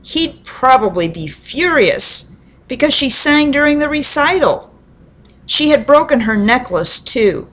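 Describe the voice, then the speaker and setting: A woman telling a children's story aloud in an expressive, deliberate storybook manner, with dramatic pauses. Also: sits high, low-quality recording.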